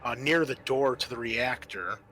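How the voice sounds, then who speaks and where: A man narrating a tabletop roleplaying game as game master, in a halting, descriptive manner. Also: mid-range voice, over music.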